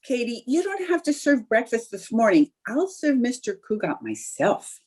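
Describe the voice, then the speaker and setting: A woman playing a scheming, excitable young society housewife in a theatrical radio sitcom style. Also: on the high side, normal speed, husky. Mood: coaxing, conspiring. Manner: coaxing, theatrical. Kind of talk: old-time radio sitcom acting.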